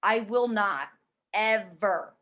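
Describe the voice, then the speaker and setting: A woman's voice: mid-range voice, takes it slowly, a bit bright. A woman in her forties speaking candidly and emphatically about her own experience as a fitness program client, with blunt, casual swearing. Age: 40s. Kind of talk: candid testimonial conversation.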